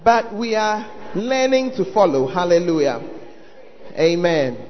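A Ghanaian man preaching in a very high, animated voice, swinging his pitch up and down as he calls out to the congregation. His voice rings through a big church hall with a long echo, and the sound is a little muffled. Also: unhurried. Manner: calls out to the audience, prods them to respond. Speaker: pastor. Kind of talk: lively sermon to a congregation.

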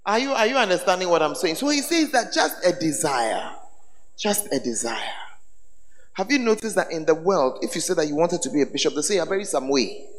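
A hoarse bishop preaches with a high, swinging voice, tossing questions at his congregation and teasing them. His words ring out with a long reverb of a hall over a faint background hum. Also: very animated, fairly quick. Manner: call-and-response with congregation, teasing. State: hoarse, voice tired. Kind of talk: sermon. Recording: echoey hall with faint ambience.

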